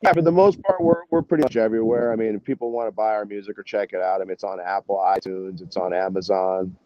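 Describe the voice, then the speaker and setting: Mellow American man on dull lo-fi audio. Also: fairly low pitch, fast, no room sound. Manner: rambling, informal, peppered with "you know" and "man". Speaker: band musician, interview guest. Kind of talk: casual interview chat.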